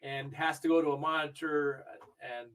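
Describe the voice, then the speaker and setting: An older man who grew up in Argentina, telling stories in a chatty, animated way as a podcast interview guest. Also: fairly high pitch, normal speed, smooth, far from the mic, poor audio. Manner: chatty storytelling, animated when recalling matches. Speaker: interview guest, video review manager.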